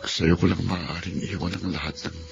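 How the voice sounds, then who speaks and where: A man playing a weakened, remorseful and grateful friend in a radio drama, speaking earnestly and haltingly. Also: sits low, steady tone, creaky, soft background music. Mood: earnest, tender. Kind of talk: Tagalog radio drama acting. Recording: dull lo-fi audio, faint noise.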